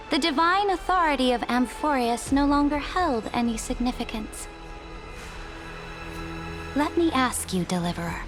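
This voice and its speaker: A haughty game empress, high in pitch and richly expressive. She speaks with deliberate, regal command and cool amusement, over clear background music. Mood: amused, philosophical. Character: imperious young woman emperor, coolly amused, grandiose and commanding. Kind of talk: scripted video game voice acting.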